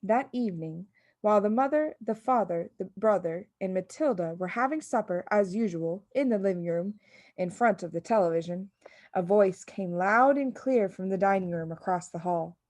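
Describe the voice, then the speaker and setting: A woman narrates a children's story in an expressive scripted style. Her pitch is medium and moves around a lot as she reads. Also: moderate pace, no room sound. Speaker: audiobook reader.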